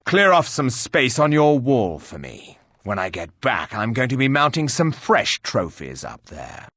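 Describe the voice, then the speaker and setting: A rude warrior hero for a fantasy game. His husky voice is formal and deadpan, yet moves widely in pitch. The sound is dry and studio-close, with a dismissive, sardonic edge. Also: unhurried, no room sound, heavily acted. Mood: grim defiance. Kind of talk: video game voice acting.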